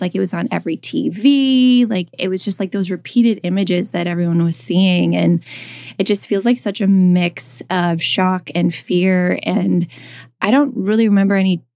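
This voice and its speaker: A woman's voice: lowish voice, darkish tone, no room sound. Chatty woman podcast host, dry sound. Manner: chatty, many fillers and restarts. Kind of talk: casual podcast chat.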